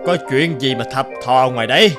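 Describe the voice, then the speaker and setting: A man voicing a cruel, vengeful village rich man in an animated folk tale, sneering and imperious. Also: mid-range voice, a little fast, over music, close to an everyday voice. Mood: scornful, scheming.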